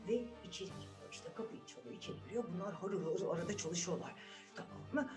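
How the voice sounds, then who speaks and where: A woman telling a fairy tale to children in an animated, conversational way, often addressing them directly. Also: lowish voice, fast, music in the background. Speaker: children's storyteller.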